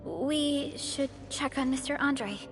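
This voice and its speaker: A young female supervisor in a video game, with a fairly high voice. She speaks politely and formally, composed and measured, over faint music. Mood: relieved, warm.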